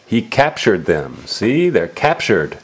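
A man's voice: fairly low pitch, huge pitch swings, slow pace, slight background noise. A man reading a picture book aloud to a young child, asking simple questions and exclaiming as he explains.

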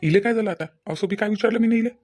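A man's voice: high-pitched, very fast, a little mellow, faint music behind. A man voicing a puzzled, exasperated village husband in a cartoon family drama, with a questioning, grumbling manner and a Vidarbha Marathi accent. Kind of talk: dramatised cartoon dialogue in colloquial speech.

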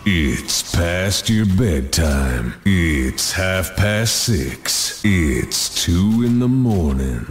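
A man voicing a menacing, mocking dark villain in a video game. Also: deep voice, lively intonation, very slow, over music, exaggerated voice. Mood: mocking menace.

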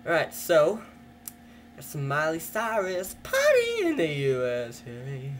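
Teen boy vlogger, unhurried and self-deprecating, webcam in a small room, faint hiss. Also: a little high, huge pitch swings. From Canada. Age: teens. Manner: rambling, self-mocking, jokey. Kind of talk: casual joking webcam vlog. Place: small wood-paneled room, indoors. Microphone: webcam at desk.

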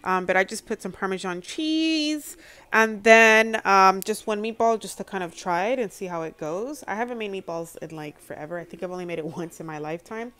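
A woman's voice: mid-range voice, a little fast, fairly bright tone, clear, resonant tone. A young American woman talking casually and informally as she narrates a home cooking demonstration. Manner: chatty, informal. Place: home kitchen, indoors.

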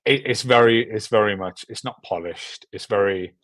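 A young man with a British accent talks casually in an interview over a video call. His voice sits fairly low, and he rambles and repeats himself in a self-deprecating way. The sound is dry, with little room on it. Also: moderate pace, a bit bright, dry recording. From Britain. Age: young adult. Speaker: guest filmmaker.

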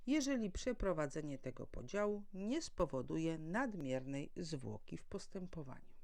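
A woman with a somewhat low, creaky voice delivers an explanatory talk on formal matters. Her intonation moves up and down in a lively way as she teaches like a legal adviser addressing the public. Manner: formal, didactic, asks and answers own questions. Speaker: legal adviser and mediator presenting.

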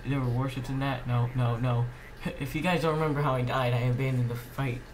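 A man's voice: mid-range voice, little pitch movement, fairly quick. Man gaming, noticeably noisy recording. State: slightly under the weather. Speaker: gaming channel host. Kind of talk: casual gameplay commentary.

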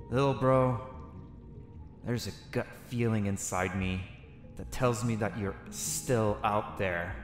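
A man's voice: medium pitch, a bit bright. A man roleplaying a character over gameplay, thinking aloud to himself as he goes. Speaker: roleplaying gamer. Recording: echoey reverberant room with faint music.

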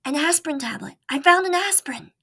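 A woman voicing an eager, determined young male mouse in a storybook reading, pleading and excited. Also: extremely high pitch, quick pace, voice pushed up, full character voice.

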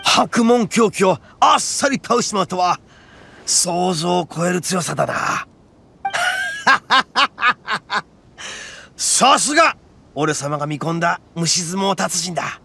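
An actor voicing a loud, brash young oni gang leader in a game, swaggering and rough-talking but big-hearted. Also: male voice, high-pitched, expressive, slow pace, heavily acted. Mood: impressed. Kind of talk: game voice acting. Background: game music.

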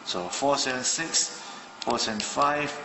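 A man's voice: medium pitch, measured pace, creaky, long reverb tail, over music, low-quality recording. A man from Malaysia casually demonstrating a radio at his desk, thinking aloud with many fillers. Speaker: hobbyist radio reviewer. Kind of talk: casual hands-on product demo. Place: indoor room at a desk.

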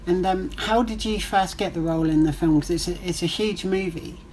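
A woman's voice: lowish voice, a little fast, a little mellow, poor audio. A woman hosting an interview over a video call from a room at home, asking questions in an enthusiastic, friendly way. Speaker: interview host. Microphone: webcam on a video call.

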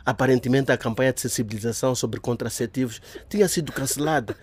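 A man's voice: medium pitch, fast talker, a little hiss or hum. A man narrating a radio drama from a script.

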